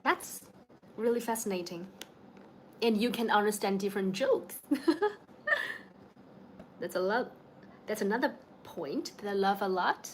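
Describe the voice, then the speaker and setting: A woman's voice: mid-range voice, unhurried, long pauses, a little hiss or hum. A young woman from China with a Chinese accent, chatting casually with viewers on a home livestream, laughing often and greeting people by name. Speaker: livestream host. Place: home in the evening.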